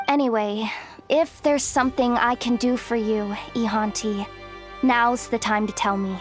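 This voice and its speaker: A woman's voice: on the high side, steady tone, unhurried, soft background music, lightly acted. An actress playing a loyal, kind-hearted, streetwise young woman in a video game, speaking in an earnest, plucky, slightly guarded way. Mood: warm, firm. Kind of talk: dramatic video game voice acting.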